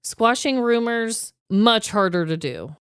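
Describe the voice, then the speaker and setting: A woman co-hosting a podcast, telling stories from her notes in an informal, animated, emphatic way. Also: a little high, slow, clear, resonant tone, dry recording, clean studio sound. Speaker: podcast co-host. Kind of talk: chatty storytelling, reading quotes from notes.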